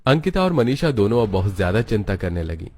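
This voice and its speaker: A man with a fairly low voice tells a children's story. He reads it as a scripted narration for a cartoon. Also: sing-song, brisk, a little mellow, faint music behind.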